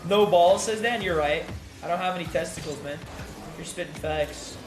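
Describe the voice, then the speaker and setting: A man's voice: a little high, normal speed, over music. A young man streaming live, chatting casually with his viewers and reading their messages aloud, in a laid-back, filler-heavy way. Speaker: gaming streamer.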